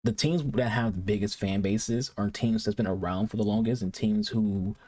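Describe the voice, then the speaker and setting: A man's voice: on the low side, fairly even intonation, normal speed, warm tone. A man from Detroit hosting a reaction video, talking casually and rambling with frequent restarts and repeated words. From Detroit, United States.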